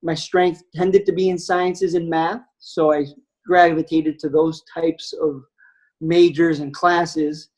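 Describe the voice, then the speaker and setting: A man's voice: on the high side, flat delivery, takes it slowly, darkish tone. Reflective man on a video call. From United States. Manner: reflective, many fillers. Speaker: anesthesiologist guest. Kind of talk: webinar talk.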